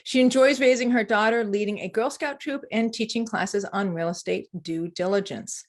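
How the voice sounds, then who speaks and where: A woman hosting an interview podcast, introducing her guest and asking questions in a lively, joking way with quick asides. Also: medium pitch. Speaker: podcast host. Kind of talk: casual interview.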